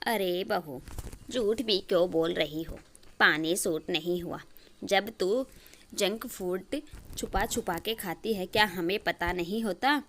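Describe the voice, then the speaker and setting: A woman's voice: medium pitch, slow pace, fairly bright tone, a little hiss or hum. A woman voicing a bossy, scolding middle-aged mother-in-law in a story cartoon, nagging and giving orders. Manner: nagging, commanding.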